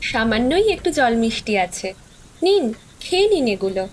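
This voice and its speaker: A woman's voice: a little high, sing-song, unhurried, slight background noise. A woman playing a sweet, hospitable young village woman in an audio horror story, speaking politely with a coaxing, playful edge. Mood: polite, curious. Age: young adult.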